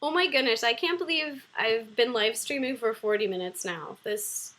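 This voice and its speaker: Bubbly young woman with a bright voice. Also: on the high side, moderate pace. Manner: bubbly, laughs often, hums while reading questions. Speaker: livestream host. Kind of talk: casual livestream chat. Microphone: computer webcam mic.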